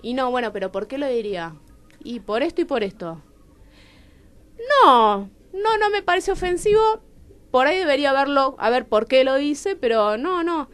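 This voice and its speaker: An Argentine woman with a Rioplatense accent, a talkative and expressive storyteller in conversation who acts out remembered dialogue. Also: high-pitched, moderate pace, smooth. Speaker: playwright, radio show guest. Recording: music behind the voice. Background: music.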